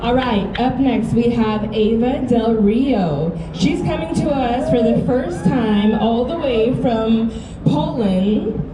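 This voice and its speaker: A woman's voice: on the high side, takes it slowly. A woman hosting a live pinup contest on stage, reading out contestant introductions in an upbeat way. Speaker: pageant emcee. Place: outdoor car show stage. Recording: echoey PA sound, loud crowd.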